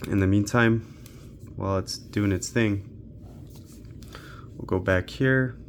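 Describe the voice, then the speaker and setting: A man's voice: fairly low pitch, slow, slight background noise. A man giving an unscripted, casual tech walkthrough, thinking aloud informally as he tests games on a handheld. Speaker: tech reviewer hosting a handheld gaming channel.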